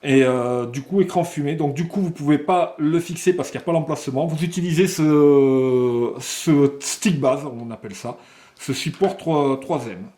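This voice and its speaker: Higher-pitched man presenting an unboxing. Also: warm tone. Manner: demonstrative, step-by-step, with halting searches for words. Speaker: product presenter for a motorcycle accessories shop. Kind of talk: unboxing commentary with technical explanations.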